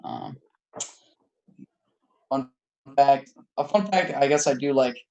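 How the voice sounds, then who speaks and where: A man's voice: medium pitch, wildly swooping pitch, slow, vocal fry, warm tone, dull audio. Eager young man on a call. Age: early 20s. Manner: eager, hesitant. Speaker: university student. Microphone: video call.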